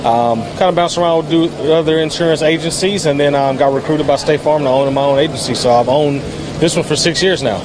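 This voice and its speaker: A man's voice: on the high side, brisk. A man in his thirties answering interview questions outdoors in a polished, promotional way. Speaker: insurance agency owner. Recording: noisy outdoor street.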